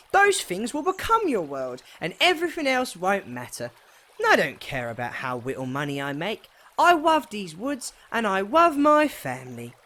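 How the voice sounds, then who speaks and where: A woman's voice: mid-range voice, fairly bright tone. A woman voicing a humble, deferential old male gnome fisherman with a lilt in an audio drama. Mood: earnest. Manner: fussy, deferential, says "madam" often.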